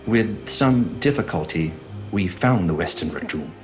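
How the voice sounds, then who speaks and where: A haunted doctor, with a fairly low voice, in a gothic radio play. He is earnest and uneasy, with faint music underneath. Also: animated, measured pace, rough recording. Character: haunted male doctor, sceptical and fearful, recounting horrors. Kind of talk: gothic audio drama diary narration and dialogue.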